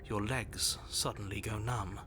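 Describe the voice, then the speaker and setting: A man as a slow, ominous horror game narrator. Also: on the low side, steady tone, noisy background, lightly acted. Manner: measured, solemn, ominous, turning snippy. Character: grave second-person narrator, insistent and testy. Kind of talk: dramatic visual novel narration. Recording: music behind the voice.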